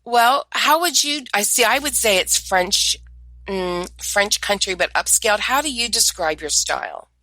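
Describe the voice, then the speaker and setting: A middle-aged woman with a head cold, co-hosting a casual podcast chat and praising her guest warmly. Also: medium pitch, lively intonation, a little slow, dry recording. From United States. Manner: effusive, heaps praise on guests. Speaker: podcast co-host, interviewer.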